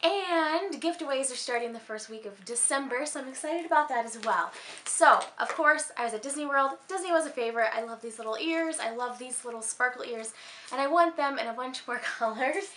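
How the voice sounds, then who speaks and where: An American woman in her thirties chatting casually in a vlog, gushing a little, with a slightly hoarse voice as if losing it to a cold. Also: sits high, a little fast, a bit bright, slight background noise. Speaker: beauty and handbag vlogger. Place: small furnished room at home. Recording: roomy indoor echo.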